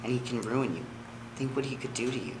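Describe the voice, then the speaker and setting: A man's voice: mid-range voice, quick pace, lightly acted. A man playing an earnest, principled, wounded young lover in a dramatic script reading. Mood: pleading, sad. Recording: clearly noisy room.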